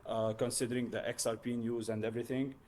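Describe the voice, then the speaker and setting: A man from Lebanon, speaking haltingly and casually as a learner in a mentoring chat. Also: medium pitch, level tone, darkish tone, a little hiss or hum. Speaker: learner trader, mentee.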